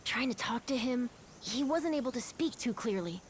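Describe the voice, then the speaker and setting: A woman's voice: a little high, animated, moderate pace, slight background noise, natural voice. A woman voicing an eager, curious young kid of a crew in a video game, earnest and informal. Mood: eager, scheming. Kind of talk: video game cutscene acting.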